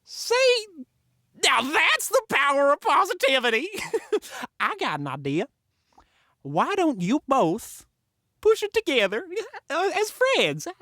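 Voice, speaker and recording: A cheeky western-drama trickster, acted by a woman, with a high voice that leaps all over the place. The delivery is slow, theatrical and teasing, with faint music behind it. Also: wildly swooping pitch, bright, forceful tone, no echo, studio quality, full character voice. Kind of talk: western audio drama acting.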